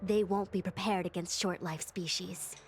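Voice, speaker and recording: A woman's voice: lowish voice, steady tone, normal speed, lightly acted. An actress playing a composed, eloquent woman strategist in a fantasy video game, speaking formally and with measure over game music. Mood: assured. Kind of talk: scripted video game dialogue. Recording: game music under the dialogue.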